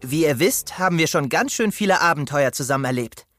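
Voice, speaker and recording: A woman's voice: deep voice, animated, fairly quick, a bit bright, no room sound, faint music behind. A woman voicing a friendly toy figure who leads a group in a children's audio play. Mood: cheerful greeting.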